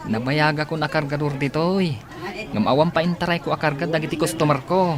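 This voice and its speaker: A man's voice: on the high side, a little fast, crowd noise, lightly acted. An actor with a Filipino accent playing a stubborn young male porter in a radio drama. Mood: eager, friendly.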